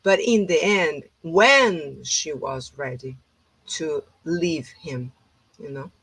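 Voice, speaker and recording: Slow-spoken Brazilian woman host. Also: deep voice, a bit bright, husky, no echo, low-quality recording. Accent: Brazilian Portuguese. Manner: hesitant non-native English with many fillers. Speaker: host, holistic therapist. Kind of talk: informal conversation.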